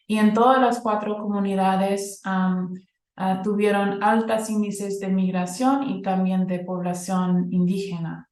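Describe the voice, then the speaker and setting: A woman presenting research at a conference in Spanish, which is not her first language, often pausing with fillers and restarting words. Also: medium pitch, darkish tone, roomy sound. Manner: hesitant, many fillers and restarts. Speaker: academic presenter.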